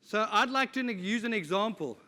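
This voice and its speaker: A middle-aged man talks to a conference audience in a casual, joking way, poking fun at himself. His voice is bright and forceful and sits a bit high. A long reverb from a large hall trails behind it. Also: expressive, a little fast. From South Africa. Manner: jokey, informal, with self-deprecating asides. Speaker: conference speaker. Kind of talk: conference presentation. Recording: live talk in a reverberant hall.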